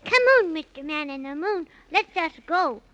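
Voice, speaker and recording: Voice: high voice, expressive, normal speed, fairly bright tone. A performer playing an earnest, plucky six-year-old boy with childlike scolding and pleading, in 1930s children's radio drama style.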